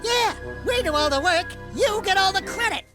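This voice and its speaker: A man voicing a small, cocky, wisecracking animal sidekick in a cartoonish video game, brash, excitable and sarcastic. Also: very high voice, normal speed, music in the background.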